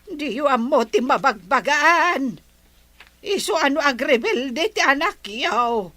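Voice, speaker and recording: A slow, bright-voiced old grandmother, acted by a woman, scolding her family by turns and warm to her grandson. Her voice is crisp and sits a little high, in a dry, close recording. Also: lively intonation, no echo, heavily acted. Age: older. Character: older village grandmother, sympathetic. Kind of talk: radio comedy drama acting.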